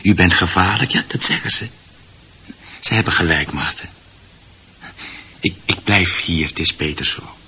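An actor playing a confused, gentle husband who is shut away, speaking haltingly and repeating himself in a radio drama. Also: male voice, low voice, a little hiss or hum, exaggerated voice. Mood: anxious, resigned. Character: confused, gentle confined husband fretting about his garden.

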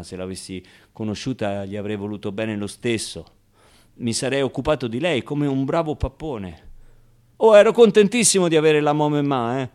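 A man's voice: fairly high pitch, natural voice. A man playing a naive, streetwise ten-year-old orphan boy in a colloquial theatrical radio monologue. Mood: frank, reflective, then cheerful, matter-of-fact. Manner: colloquial, ungrammatical, with interjections and rhetorical asides.

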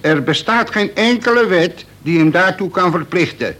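An actor playing a wily, mock-deferential veteran convict in a Dutch radio play, reciting pedantically with bits of prison slang. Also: male voice, sits high, expressive, normal speed, a bit bright. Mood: insistent, polite.

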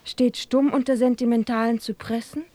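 An actress playing a young, forthright, lovestruck sultan's daughter in a rhymed fairy-tale audio drama, with a lilting, theatrical delivery. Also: female voice, on the high side, little pitch movement, normal speed, mellow, no echo, natural voice. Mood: tender, teasing.

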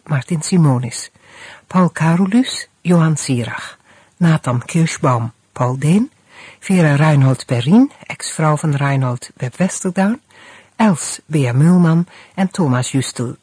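An announcer reading out the credits of a radio play in a formal, measured way. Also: mid-range voice, darkish tone, breathy edge, no room sound.

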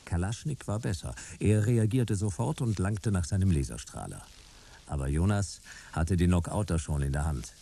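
An actor playing the wry male narrator of a detective radio drama, delivering scripted lines in a dry, clipped, deadpan way. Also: deep voice, moderate pace, vocal fry, husky, heavily acted. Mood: measured.

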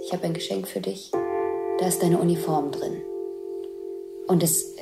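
Woman scene describer, lowish voice, observational commentary over music. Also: steady tone, measured pace, creaky.

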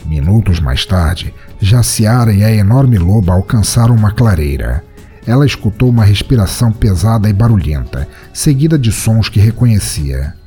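A man's voice: lowish voice, lively intonation, normal speed, warm tone, faint music behind. A Brazilian man narrating a scripted fantasy story in a dramatic storytelling style. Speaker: narrator of a fiction podcast.

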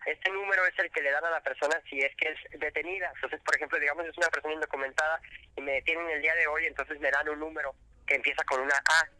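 Male radio guest, slightly high and very bright voice, dry sound, patient explainer who stumbles on fillers. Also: steady tone, no room sound, poor audio. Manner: explanatory, halting with many fillers. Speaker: immigration rights guest. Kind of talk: radio interview answers.

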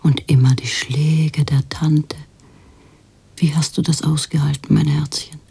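An actress playing a worldly older fortune-teller in a radio drama, cooing endearments and making theatrical, oracular pronouncements in a breathy, husky voice. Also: female voice, low voice, little pitch movement, warm tone, heavily acted. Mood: pitying, cooing. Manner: slow, insinuating, oracular, cloying endearments.

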